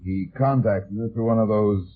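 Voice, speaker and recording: An actor playing a brisk, probing private detective in a 1950s radio drama, with clipped questions and a muffled vintage sound. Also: male voice, lowish voice, close to an everyday voice. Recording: muffled old radio broadcast recording.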